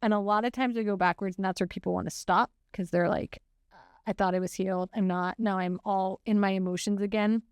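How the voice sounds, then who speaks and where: A woman's voice: mid-range voice, steady tone, a little fast, a little mellow, clear, resonant tone, no echo. A woman in her forties co-hosting a podcast, talking in an earnest, reflective way and laughing easily. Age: 40s. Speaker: podcast co-host. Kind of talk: casual conversation.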